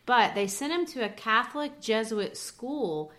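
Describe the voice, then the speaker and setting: A woman's voice: a little high, lively intonation, unhurried, fairly bright tone. A woman in her forties who grew up in Brazil, co-hosting a casual book talk and explaining things thoughtfully with small fillers. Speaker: podcast co-host.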